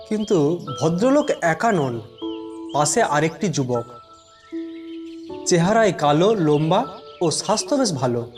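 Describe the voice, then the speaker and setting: A man telling a scripted first-person suspense story in a measured, dramatic way, with music behind him. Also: high voice.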